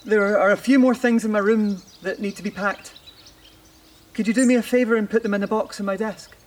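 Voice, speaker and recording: An actor playing a wistful, reflective man in a naturalistic audio play, speaking haltingly and lyrically. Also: high voice, steady tone, natural voice. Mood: tender, resigned. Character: wistful, elated man saying goodbye to his beloved. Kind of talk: naturalistic audio drama acting.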